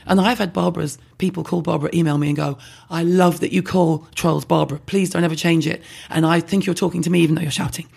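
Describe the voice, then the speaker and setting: A woman with a husky, heavily gravelly voice talks fast and bluntly. She is animated and self-deprecating, trading candid views in a podcast conversation. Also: on the low side. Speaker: skincare blogger guest.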